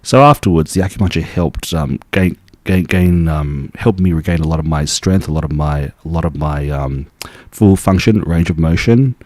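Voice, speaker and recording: A young man, born in Taiwan, working as an acupuncturist and telling his story in a relaxed, casual interview full of restarts. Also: rumbling low, moderate pace, no room sound. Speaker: acupuncturist guest.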